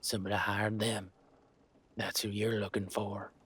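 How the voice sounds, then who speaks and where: A man voicing a rough, cagey hired brigand who talks in a laconic, folksy, evasive way in a fantasy audio drama. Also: on the low side, level tone, moderate pace, a bit bright.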